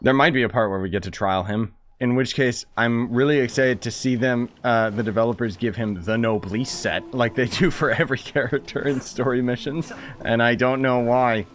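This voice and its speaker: A man's voice: mid-range voice, moderate pace, a bit bright. A man giving casual playthrough commentary as a gaming streamer, with wry, sarcastic asides.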